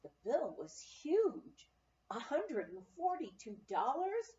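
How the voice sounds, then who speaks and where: Lady reading a story out loud, highish voice, kinda muffled echoey recording. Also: sing-song, slow pace, recorded from a distance. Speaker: audiobook reader. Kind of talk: expressive memoir narration, reading aloud. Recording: muffled, roomy, off-mic amateur recording.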